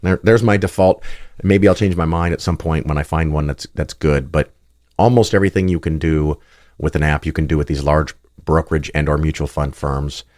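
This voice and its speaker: Man, podcast host, extremely deep voice, raspy from a cold, blunt casual talk, dry sound. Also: fairly even intonation, brisk, a little mellow, no echo. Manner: blunt, plainspoken, chatty with fillers. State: getting over a cold. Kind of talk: casual solo monologue.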